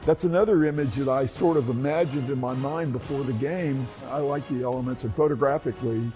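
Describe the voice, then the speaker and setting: Mellow man in his seventies. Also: medium pitch, a little fast, faint music behind. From Texas, United States. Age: 70s. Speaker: veteran photographer, interviewee. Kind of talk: reminiscing in an interview.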